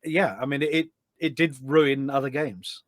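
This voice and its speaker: A man's voice: mid-range voice, fairly even intonation, normal speed, no echo. A middle-aged man chatting casually as a podcast guest, enthusiastic and full of anecdotes. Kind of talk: casual chat. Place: indoors at a desk at home.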